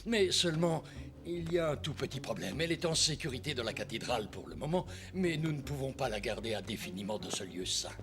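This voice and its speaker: A man's voice: medium pitch, animated, a little fast, lightly acted. A man playing an obsessed, menacing, manipulative priest in a French cartoon dub, with theatrical, impassioned delivery that swings from coaxing to threatening. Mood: scheming. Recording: long hall reverb, music behind.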